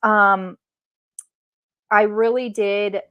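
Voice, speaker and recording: Slow-talking woman, casual and playful. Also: mid-range voice, fairly even intonation, clear, resonant tone, lo-fi sound. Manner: laughs readily, playful. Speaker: co-host of a book discussion livestream. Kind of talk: casual chat.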